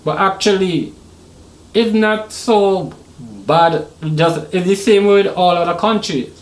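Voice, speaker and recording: A man's voice: high voice, expressive, slow pace, reverberant, poor audio. A man with a mixed Vincentian, Trinidadian and slightly American accent, talking casually as an interview guest. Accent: Caribbean, Vincentian-Trinidadian mixed with some American. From Saint Vincent and Trinidad. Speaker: podcast guest, Caribbean hip hop artist.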